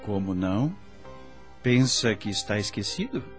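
An actor playing a patient, kindly male spirit guide in a radio drama, teaching in formal, measured diction. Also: on the low side, very animated, soft background music. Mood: reassuring.

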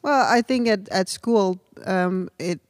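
A woman's voice: medium pitch, slow, dry recording. A woman with a light Danish accent, a native Danish speaker, co-hosting a podcast in casual, reflective chat. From Denmark. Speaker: podcast co-host.

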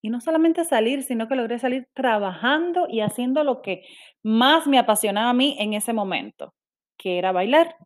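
A woman's voice: on the high side, lively intonation, moderate pace. A young woman from Cuba with a Cuban accent, speaking candidly and self-critically in a personal monologue, with frequent restarts. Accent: Cuban Spanish.

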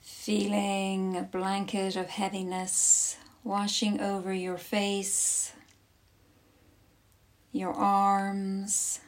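A woman leading a guided yoga nidra class as instructor, speaking soothingly in short instructive phrases with long pauses. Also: medium pitch, completely flat delivery.

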